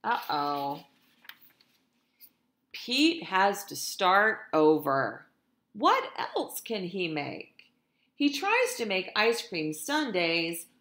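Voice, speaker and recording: Woman, storytime teacher for small kids. Unhurried, expressive, talks straight to the children, in an echoey room. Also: fairly low pitch. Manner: expressive, deliberate, addresses children directly. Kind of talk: picture-book read-aloud for young children. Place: indoors in a room at home.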